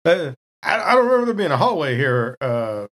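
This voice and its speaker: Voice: mid-range voice, sing-song, a little fast, fairly bright tone. A tabletop player voicing a bewildered, nervous spaceship crew member who stammers. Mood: flustered, bewildered. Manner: stammering, flustered.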